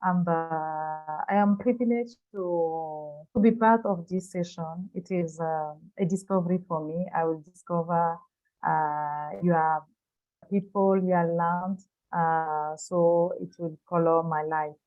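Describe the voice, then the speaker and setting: A woman's voice: on the low side, steady tone, takes it slowly, faint music behind. A woman from Cameroon, a native French speaker with a French-speaking Cameroonian accent, speaking carefully and politely as a workshop participant. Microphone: video call. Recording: phone-like video call audio.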